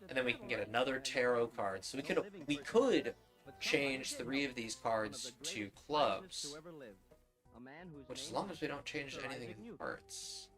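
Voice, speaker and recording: A man's voice: medium pitch, expressive, unhurried. A man streaming a game, thinking aloud and explaining his choices in casual commentary. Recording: music and radio drama behind.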